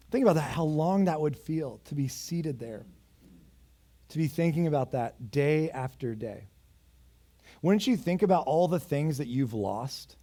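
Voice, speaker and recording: A pastor in his thirties delivers a sermon in a mellow, dark-toned voice. He tells the story with urgency, then drops low and close, and slips in a wry joke about himself now and then. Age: 30s. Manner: storytelling preacher, swings between raised urgency and quiet intimacy; self-deprecating asides. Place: church.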